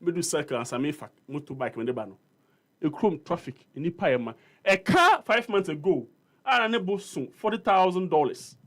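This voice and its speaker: Ghanaian man, slightly high voice, argumentative radio panelist, code-switching English and Twi. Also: expressive, moderate pace, fairly bright tone. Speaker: panel guest. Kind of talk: heated political debate. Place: radio studio.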